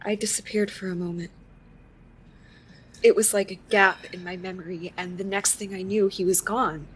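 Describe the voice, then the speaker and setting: A woman's voice: mid-range voice, fairly even intonation, moderate pace, slight background noise, lightly acted, rough recording. An actress playing a shaken, wondering woman who recounts her strange experience in a confiding, conversational monologue for a live audio drama. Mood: stunned. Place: live on stage in a theater.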